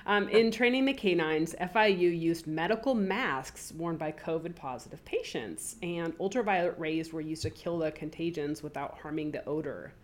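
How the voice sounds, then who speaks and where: A woman with a low voice, made a little deeper by a cold, hosts a casual podcast. She chats easily and reads news stories aloud, stopping to add her own comments. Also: normal speed, few pauses. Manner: chatty, reads news items aloud with asides. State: has a cold, voice deeper than usual. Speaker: podcast co-host.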